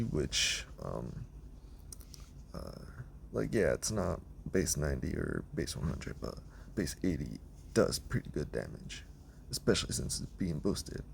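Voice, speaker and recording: Raspy, gravelly man losing his voice. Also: low-pitched, a little mellow, a little hiss or hum. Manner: rambling, lots of ums and restarts. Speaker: video creator explaining his team. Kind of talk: casual team breakdown.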